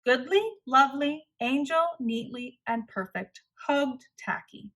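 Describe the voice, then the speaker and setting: A woman reading a children's story aloud as narrator, expressive and deliberate. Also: high-pitched.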